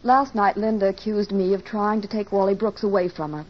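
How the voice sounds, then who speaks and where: A woman's voice: medium pitch, steady tone, fairly quick, close to an everyday voice. An actress playing a distraught young woman in a 1940s radio drama, anxious, pleading and melodramatic. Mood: despairing, confessing. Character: distraught young woman convinced her nightmare made her a killer. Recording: dull, lo-fi old radio recording.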